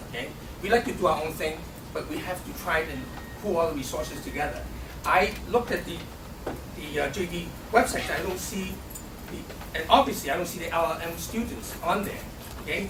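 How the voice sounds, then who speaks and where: High-voiced man. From Hong Kong. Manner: punctuates nearly every phrase with a questioning "okay?". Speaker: student election candidate. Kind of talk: campaign debate speech. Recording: echoey, distant, noisy room recording.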